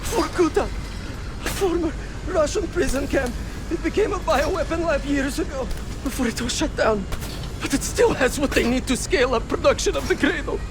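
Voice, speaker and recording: A man's voice: very high-pitched, moderate pace, music in the background, low-quality recording. An actor playing a frightened captive bioweapon scientist in a video game, pleading desperately for his life. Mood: fearful, confessing. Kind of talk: video game cutscene acting.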